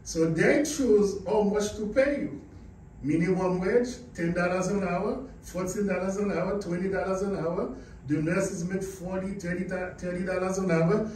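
A man's voice: high voice, steady tone, a little slow, a little mellow. Haitian-born male seminar speaker, emphatic, quizzes the crowd; faint room hum behind. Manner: emphatic, asks the audience questions. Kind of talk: motivational business presentation. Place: carpeted indoor meeting room. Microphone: far camera, no visible mic. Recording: echoey room, camera far off.

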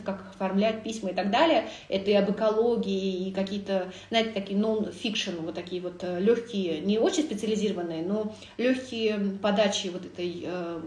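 A woman in her thirties, a French teacher, talks through a livestream lesson from her living room in a lively, chatty way, full of filler sounds and self-corrections. Her voice sits at a middle pitch. She is recorded on a phone at arm's length, and the room gives the sound a noticeable echo. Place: living room at home, indoors. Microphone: phone camera at arm's length. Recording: roomy echo.

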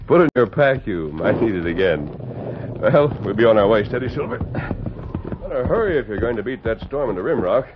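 An actor playing a calm, courteous American cowboy hero, polite and assured, in an old radio western. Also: male voice, on the low side, normal speed. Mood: genial. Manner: polite, assured, well-spoken. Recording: muffled, noisy vintage radio broadcast.

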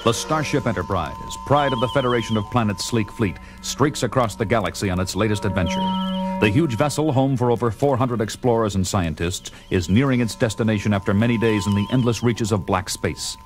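A man's voice: on the low side, fairly quick, lightly acted. A man delivering dramatic scripted narration for a 1970s audio drama. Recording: music behind the narration.

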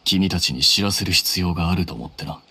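Anime game scholar: low voice, cool, blunt, unhurried by emotion, terse. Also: male voice, gravelly, slight background noise, heavily acted. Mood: calm. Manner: calm, terse, matter-of-fact. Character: cool, composed, blunt young male scholar.

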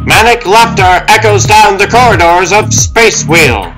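A man's voice: high voice, lively intonation, measured pace, over music, rough recording. A man delivering dramatic scripted narration as the narrator of a comic-book dub.

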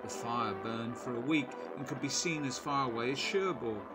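A man narrating a local history documentary in a measured, informative voice-over. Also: mid-range voice. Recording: voice-over with loud music bed.

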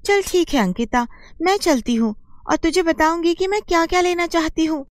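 Woman as demanding housewife, cartoon story, high pitch, showy, boastful, emphatic. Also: moderate pace, dry recording, clean studio sound. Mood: bragging.

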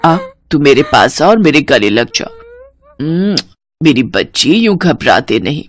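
A woman voicing a gentle, doting elderly mother-in-law who soothes affectionately in a cartoon story. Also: low-pitched, big pitch swings, unhurried, voice pushed down, exaggerated voice. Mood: tender.

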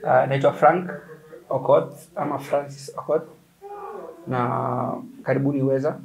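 A Kenyan-accented man in his thirties answers interview questions. His voice is mid-pitched with a lot of creak, and his intonation is rather even. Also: a little slow, low-quality recording. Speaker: gallery manager. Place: indoor art gallery room. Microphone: lapel.

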